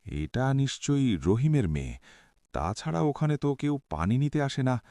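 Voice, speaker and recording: A man's voice: medium pitch, very animated, normal speed, mellow, no room sound, clean studio sound. A man playing a spiteful, scheming village landlord father in a cartoon, speaking in a dramatic, plotting manner. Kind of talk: Bengali cartoon voice acting.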